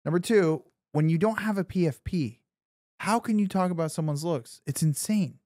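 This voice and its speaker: A man's voice: a little high, normal speed, dark tone, dry recording. A man co-hosting a podcast, rambling and emphatic with many restarts. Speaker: podcast co-host. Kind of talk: casual chat.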